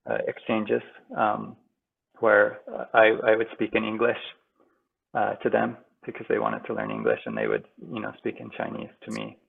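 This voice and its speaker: A man's voice: fairly low pitch, normal speed, gravelly, breathy edge. A man in his forties, a guest answering casually, hesitant with many ums and restarts. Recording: tinny phone-like call audio.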